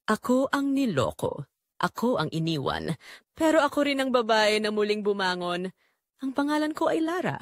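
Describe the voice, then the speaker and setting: Expressive, wounded Filipino mother played by a woman. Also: medium pitch, no echo, studio quality. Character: betrayed middle-aged mother, wounded but quietly resolute. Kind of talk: dramatic first-person audio story narration.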